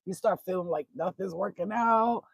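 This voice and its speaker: A woman from Albania with a husky, rough-edged voice, recorded dry and close. She talks off the cuff to her online followers as a card reader and advice giver. Her manner is blunt and sweary, and she drives points home by repeating them. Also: fairly low pitch, normal speed, dry recording. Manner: blunt, profane, repeats herself for emphasis. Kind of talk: informal, unscripted talk to followers.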